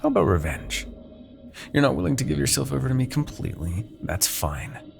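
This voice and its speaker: A man playing a smug, commanding Demon King in a fantasy ASMR roleplay, taunting and possessive, with theatrical, deliberate phrasing. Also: medium pitch, very animated, gravelly, music in the background. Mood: commanding, scornful.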